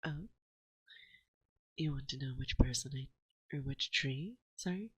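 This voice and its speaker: A woman playing a playful, dominant forest witch in an ASMR roleplay, speaking softly and breathily close to a binaural mic, often slipping into a whisper, teasing and coaxing. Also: very deep voice, slightly rough, dry recording, exaggerated voice. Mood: playful, musing. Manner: slow, teasing, coaxing, with musing pauses. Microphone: close binaural microphone.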